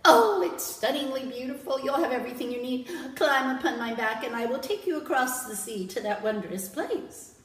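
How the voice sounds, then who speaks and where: A woman's voice: on the high side, normal speed, hardly any pauses, natural voice. A woman voicing an earnest jellyfish servant. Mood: coaxing. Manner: earnest and pleading. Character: eager, gullible male jellyfish servant. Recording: roomy, from far off.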